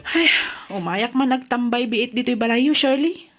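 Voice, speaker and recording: Radio drama role: chatty, sympathetic Ilocano female in-law, played by a woman, somewhat high-pitched, gossipy. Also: normal speed, over loud music, natural voice.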